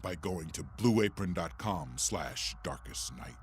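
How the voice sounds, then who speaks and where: A man's voice: sits low, expressive, unhurried, slight background noise. A man hosting a podcast, reading a sponsor ad in a chatty, scripted way. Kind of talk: chatty host-read ad. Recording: music behind the voice.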